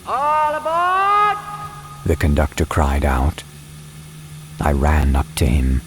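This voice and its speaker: A man reading a dramatized storybook as an audiobook narrator, with measured, deliberate phrasing. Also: a bass voice, level tone. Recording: clear ambience and train noise behind. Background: soundscape of train and ambience.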